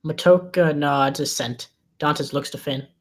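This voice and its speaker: Table-read narrator, medium pitch, scripted stage directions, screenplay narration. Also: fairly even intonation, unhurried.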